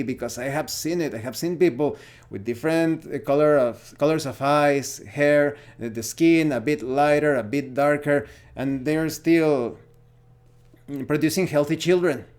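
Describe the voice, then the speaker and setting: A man's voice: a little high, normal speed, darkish tone. A man, a Spanish speaker, giving an unscripted opinion monologue as host of a gaming channel, often searching for words. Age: 40s.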